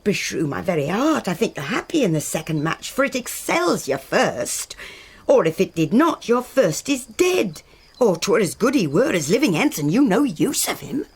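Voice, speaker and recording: An actress playing an earthy, pragmatic older nurse in a Shakespearean radio drama, with a British accent and a husky voice. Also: female voice, medium pitch, big pitch swings, moderate pace, exaggerated voice. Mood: coaxing, pragmatic.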